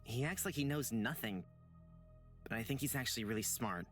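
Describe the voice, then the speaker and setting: A timid, earnest young man from a visual novel, played by a male actor. He is hesitant and easily flustered, with a lively, wide-moving pitch and faint music behind. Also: brisk, natural voice. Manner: hesitant, stammering.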